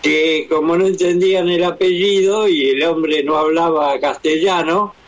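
A man's voice: on the high side, steady tone, a little slow. A man with an Argentine accent chatting casually on the radio and telling anecdotes. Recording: dull radio broadcast sound.

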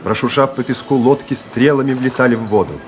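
A man's voice: medium pitch, fairly quick, soft background music. A man narrating a war radio play in a dramatic, scripted style. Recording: muffled, noisy old radio recording.

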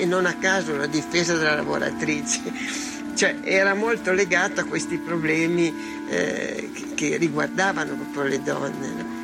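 A woman's voice: low-pitched, gravelly. An older woman recalling the past in spontaneous documentary testimony. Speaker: former partisan giving testimony. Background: loud music.

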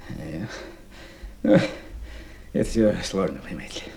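An actor playing a fussy, self-righteous male tenant in a radio play, whining and ingratiating, halting and dropping into mutters and whispers. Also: deep voice, lively intonation, creaky, dark tone, a little hiss or hum, heavily acted. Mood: evasive, indignant. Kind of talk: Soviet radio play acting.

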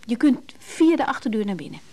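Anxious woman, swooping mellow voice. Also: fairly high pitch, animated, brisk. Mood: pleading, insistent. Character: anxious married woman, pleading, tender and insistent. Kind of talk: naturalistic Dutch radio drama acting.

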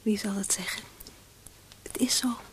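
A woman's voice: medium pitch, steady tone, measured pace, lightly acted. An actress playing a shy, devoted young woman in love in a radio drama, hesitant and often close to a whisper. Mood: loving, yielding. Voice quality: breathy, often near whisper. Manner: hesitant, timid.

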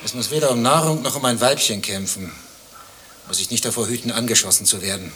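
A man's voice: medium pitch, fast talker, pushed and bright, slight background noise, lightly acted. An actor playing a contrarian, dryly philosophical zoo visitor in a radio play, pedantic and probing in his talk.

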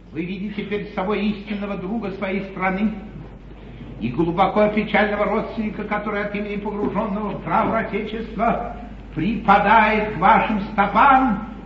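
An actor playing an eloquent, ironic English lord in a classical 1950s stage comedy, declaiming grandly. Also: male voice, sits high, lightly acted. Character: eloquent, theatrical English lord, an opposition politician. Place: theatre stage. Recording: dull 1950s live theatre recording, echoey hall, hiss.

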